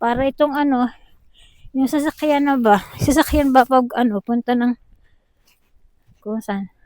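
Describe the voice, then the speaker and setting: A woman's voice: sits high, darkish tone, dry recording, poor audio. Chatty Filipino woman vlogging outdoors. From Philippines. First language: Tagalog. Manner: chatty, halting, mixes in Tagalog, laughs often. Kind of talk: casual travel vlog walking tour. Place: outdoors by a windy harbor.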